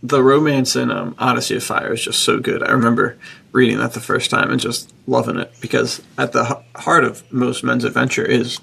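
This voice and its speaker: A man with a heavily creaky, husky voice talks as a podcast host. He chats casually and speaks with effusive enthusiasm, his delivery full of gravel and fry. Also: medium pitch, fairly even intonation, a little fast, bright, forceful tone.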